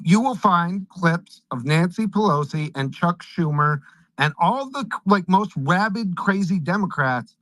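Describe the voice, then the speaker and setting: A man's voice: high voice, a bit bright, dry recording, low-quality recording. A man hosting a live online audio room, giving pointed, emphatic political commentary. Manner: emphatic, pointed, ends by handing over the floor. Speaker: host.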